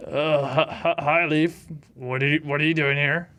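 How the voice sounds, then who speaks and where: A man's voice: on the high side, fairly even intonation, normal speed, pushed and bright, clean tone. A man improvising casually as a player in a tabletop game, sarcastic and incredulous in tone. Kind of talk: casual improvised role-play and chat.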